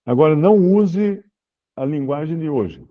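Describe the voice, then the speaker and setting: Man teaching scripture, lo-fi. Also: on the high side. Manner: relaxed, explanatory, reads scripture aloud slowly. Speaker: Bible teacher answering questions. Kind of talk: informal Bible study Q&A.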